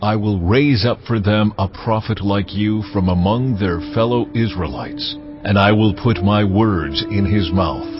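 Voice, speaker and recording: A solemn God of a scripture audio drama, played by a man, speaks low with grave authority. The words come slowly and deliberately as commands. Clear music plays behind, and the sound is slightly dull. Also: natural voice. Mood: solemn, commanding. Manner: slow, grave, authoritative pronouncements. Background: cinematic music.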